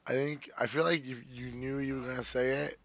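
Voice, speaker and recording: Rough-voiced teasing male streamer. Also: medium pitch, little pitch movement, brisk, a crowd in the background. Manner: joking, teasing, swears freely. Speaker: streamer playing a football video game. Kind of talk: casual chat with friends while gaming.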